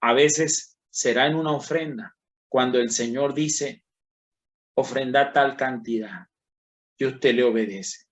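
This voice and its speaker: A man who is a pastor, teaching a Bible class in sermon style, slow and emphatic, rising on key words and speaking straight to his listeners. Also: medium pitch.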